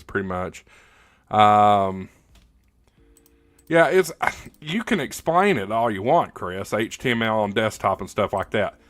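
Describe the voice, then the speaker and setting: A man hosting a casual hobby livestream, answering comments in a halting, plain-spoken, opinionated way, often repeating words as he goes. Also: medium pitch, fairly bright tone, no room sound. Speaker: livestream host, ham radio hobbyist.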